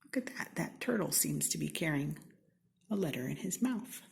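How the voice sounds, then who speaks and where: An American woman from Seattle reading a children's storybook aloud in an expressive, theatrical way, with deliberate, playful emphasis. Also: low voice, gravelly, a little hiss or hum. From Seattle, United States. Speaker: storybook reader.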